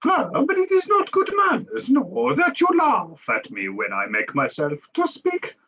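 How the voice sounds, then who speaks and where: A sly, ingratiating older madam in a theatrical play. Her voice is high and she speaks slowly, in broken English with a Spanish accent and tangled word order. The sound is dull, like a video call. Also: huge pitch swings, fairly bright tone, husky, full character voice. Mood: wheedling, offended. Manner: halting broken English with inverted phrasing.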